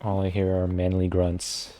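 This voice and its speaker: A man's voice: deep voice, completely flat delivery, moderate pace, dark tone, faint music behind. A man giving casual live commentary on a game, with dry joking asides. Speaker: game livestreamer.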